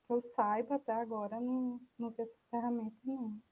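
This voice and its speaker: A woman with a fairly high voice and a Brazilian accent, speaking informally as the presenter of an online talk. She sounds unassuming and slightly hesitant as she answers in a casual, conversational way. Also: level tone, fast talker, mellow, dull audio.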